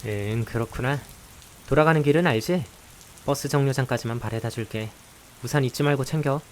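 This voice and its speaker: Man as weary high school boy, reluctant partner type. Sarcastic, dry, mid-pitched, over rain and hiss. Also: a little fast, close to an everyday voice. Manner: blunt, dry, sighing and sarcastic. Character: grumbling, exasperated male high school student, reluctant partner. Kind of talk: visual novel voice acting with some first-person narration. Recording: hiss with steady rain behind.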